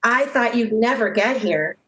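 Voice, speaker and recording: A woman's voice: a little high, unhurried, a bit bright, rough recording. A woman playing a dreamy, lovestruck young switchboard operator in 1930s radio style, earnest and gushing. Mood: brisk, polite.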